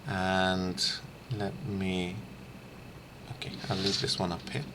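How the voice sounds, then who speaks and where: A middle-aged man giving an informal instructional screencast as a language teacher, haltingly thinking aloud. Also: sits low, flat delivery, noisy background. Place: small room lined with shelves, indoors.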